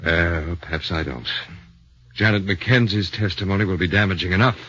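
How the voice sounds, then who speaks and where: Low, husky, cautious lawyer. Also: male voice, fairly bright tone, dry recording. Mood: grave, worried. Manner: formal, deliberate, hesitant pauses. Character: earnest, measured, cautious male defence lawyer. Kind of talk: 1940s radio drama acting. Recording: dull 1940s radio recording.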